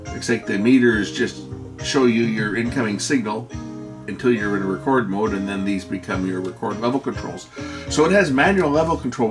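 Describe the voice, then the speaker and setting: Low, creaky-voiced man thinking aloud. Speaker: host of an electronics repair video channel. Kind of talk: unscripted hands-on product walkthrough.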